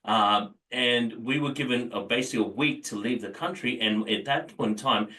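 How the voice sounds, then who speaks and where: An Indian-born man speaking as an interview guest, measured and thoughtful, with frequent fillers. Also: medium pitch, pushed and bright, recorded from a distance, rough recording.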